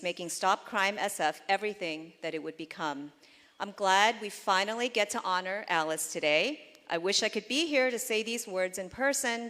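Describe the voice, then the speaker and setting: Woman, measured earnest read of a tribute, long hall echo. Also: medium pitch, fairly bright tone. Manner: measured, earnest reading with small restarts. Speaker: speaker giving a tribute. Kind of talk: read prepared remarks.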